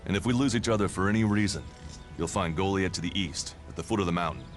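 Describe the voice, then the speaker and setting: Man playing a low-voiced plain-spoken warrior guide. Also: quick pace, faint music behind, natural voice. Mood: brisk, affable. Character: friendly but watchful male warrior guide, plain-spoken. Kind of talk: video game acting.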